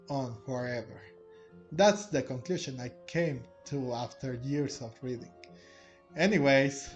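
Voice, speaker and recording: A man's voice: medium pitch, darkish tone. A man hosting a game playthrough, reading dialogue aloud and commenting in a rambling, hesitant way. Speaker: gaming video host.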